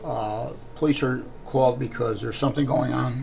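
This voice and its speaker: Raspy American man, noisy podcast recording. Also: medium pitch, fairly even intonation, darkish tone. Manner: halting, throat-clearing, rambling. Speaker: podcast host. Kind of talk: unscripted podcast talk. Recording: clearly noisy background.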